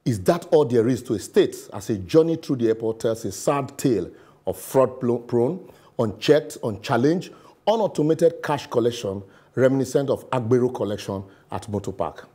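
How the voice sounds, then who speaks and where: A man's voice: mid-range voice, lively intonation, moderate pace, mellow, slightly rough. Sardonic Nigerian man delivering studio commentary. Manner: sarcastic, emphatic, drops into pidgin asides. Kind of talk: scripted opinion commentary. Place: television studio.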